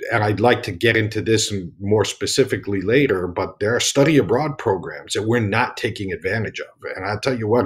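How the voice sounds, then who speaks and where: A man's voice: on the low side, breathy edge. A man, speaking earnestly on an online panel from his home study over a video call, with many restarts. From United States.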